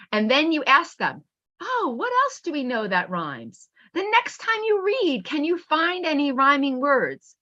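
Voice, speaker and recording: Bright, animated middle-aged woman teaching, dull recording. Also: high-pitched. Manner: expressive, encouraging, emphatic. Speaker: teacher trainer and read-aloud presenter. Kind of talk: teaching webinar with animated picture-book reading. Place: at home on an online video call. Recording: dull, lo-fi online call audio.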